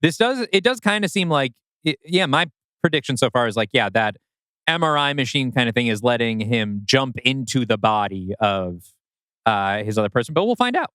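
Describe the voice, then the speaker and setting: A man's voice: mid-range voice, big pitch swings, moderate pace, a bit bright, clear, resonant tone, dry recording. Casual male podcast host, close dry sound. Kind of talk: casual chat, reading out listener predictions.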